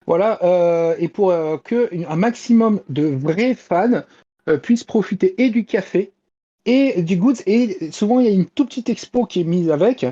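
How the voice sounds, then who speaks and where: A man's voice: high voice, mellow, highs cut off. A man chatting casually as a panelist reporting news on a talk show, hesitant and searching for words. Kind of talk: casual chat.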